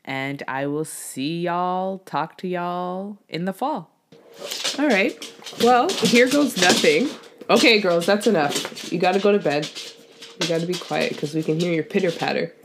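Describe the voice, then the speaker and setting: A woman's voice: on the low side, measured pace, noisy background. A woman hosting a podcast in a casual, conversational way, addressing listeners in an informal, folksy style. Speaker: podcast host interviewing her father.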